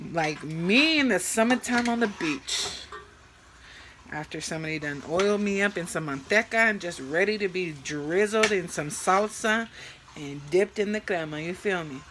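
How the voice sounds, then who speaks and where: A mid-pitched woman talks through her cooking in a relaxed, rambling way. She throws in crude jokes between steps. A frying pan sizzles clearly in the home kitchen behind her. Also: measured pace, noisy background. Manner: bawdy, joking, rambling. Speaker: cooking vlogger. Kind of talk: casual cooking chat.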